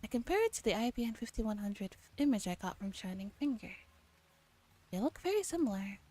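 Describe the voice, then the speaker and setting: A young woman streamer with a soft, breathy voice, reading a visual novel aloud in ASMR style and chatting playfully in casual slang. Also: mid-range voice, animated, a little fast. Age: young adult. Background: game music.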